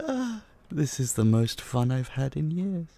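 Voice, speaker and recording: A man voicing a possessive, centuries-old vampire in an intimate roleplay drama, slow and condescending, with a husky, breathy voice that drops into whispers. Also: on the high side, dark tone, slight background noise, heavily acted. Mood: gleeful predator. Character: possessive centuries-old male vampire, dominant, menacing captor.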